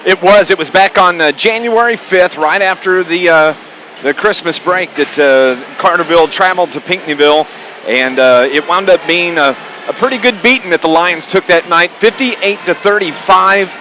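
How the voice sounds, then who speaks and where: A man's voice: high voice, moderate pace, sharp tone, slight background noise. A man hosting a live sports radio pregame show as play-by-play announcer, talking in a conversational, folksy way. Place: corner perch in a school gymnasium. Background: gym ambience, bouncing basketballs.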